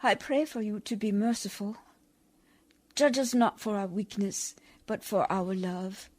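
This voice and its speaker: An actress playing a devoted, genteel, anxious river traveller, proper, tender and earnest, in a radio play. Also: female voice, mid-range voice, a little slow, darkish tone, dry recording, close to an everyday voice. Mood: resigned, prayerful.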